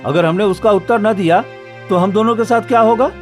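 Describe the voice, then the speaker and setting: A man's voice: high-pitched, fairly quick, faint music behind, natural voice. A man voicing a fearful young villager. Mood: alarmed. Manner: urgent, then pleading.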